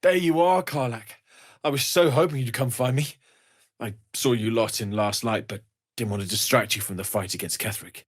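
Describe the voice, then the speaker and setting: A man's voice: mid-range voice, normal speed, breathy edge, dry recording, clean studio sound, lightly acted. An actor playing an earnest, kindly young male blacksmith refugee in a fantasy video game, speaking sincerely and politely with plenty of expression. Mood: grateful, relieved. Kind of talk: scripted fantasy game dialogue.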